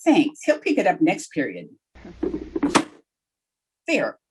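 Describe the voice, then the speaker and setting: An actress playing a wry, wisecracking high school teacher in an old-time radio comedy, with dry, sardonic delivery. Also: female voice, wildly swooping pitch, slow pace, a little mellow, breathy edge, heavily acted. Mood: chatty, coy. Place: remote recording over video call.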